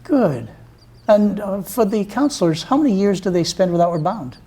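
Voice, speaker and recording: Encouraging woman host, mellow tone. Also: mid-range voice, animated, moderate pace, husky. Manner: encouraging, affirming, leading questions. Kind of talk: interview talk show.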